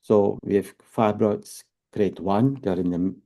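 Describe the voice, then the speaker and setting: A man's voice: lowish voice, steady tone, slow, mellow. A man in his fifties from Belgium with a Belgian accent, a fertility doctor explaining things patiently, step by step, with tag questions. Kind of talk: explanatory interview talk.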